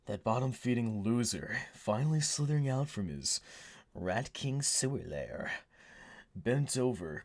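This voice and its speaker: A man playing a cocky, protective, hot-headed hero in an audio roleplay, husky and sardonic with an urgent delivery. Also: fairly low pitch.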